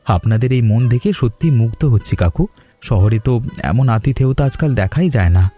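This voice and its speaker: A man's voice: medium pitch, expressive, moderate pace, warm tone, breathy edge, soft background music. A man playing a polite, grateful young male traveller from the city in a cartoon dub.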